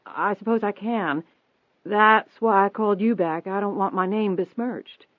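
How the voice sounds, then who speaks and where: A man's voice: sits high, lively intonation, normal speed, dry recording, voice pushed up. A man voicing a prim, nervous, proud rich woman in an audiobook, formal and defensive, with an anxious edge. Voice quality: trembling, anxious. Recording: thin phone-like band-limited audio.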